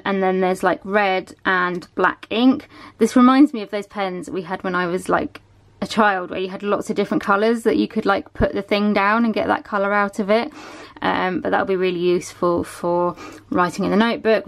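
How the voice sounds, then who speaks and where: A woman, chatting casually to camera at home and showing items, with lots of ums and a voice that is going a bit hoarse. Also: mid-range voice. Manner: chatty, many ums, shows items one by one. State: losing her voice a bit. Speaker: vlogger. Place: small room at home, indoors.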